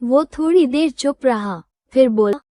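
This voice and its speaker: A woman reading a scripted children's moral story as storyteller, in a measured, instructive manner. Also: high voice, lively intonation, dark tone, dry recording.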